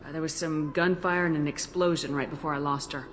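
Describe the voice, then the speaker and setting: A woman's voice: low voice, steady tone, a little fast, slight background noise, natural voice. An actress playing a determined female military commander in a video game, direct and controlled. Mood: unsettled. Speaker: video game character actor. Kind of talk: video game dialogue acting.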